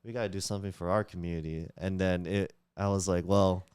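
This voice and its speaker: A man's voice: low voice, fairly even intonation, fast talker, a little mellow, clear, resonant tone, dry recording, clean studio sound. A man, a Tagalog speaker, talking earnestly as a guest in a casual interview, with many fillers and restarts. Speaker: community leader, guest.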